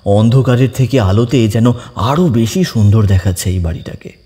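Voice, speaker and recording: A man's voice: on the low side, moderate pace, dark tone. A man narrating a ghost story in dramatic, scripted style, with reverb on his voice.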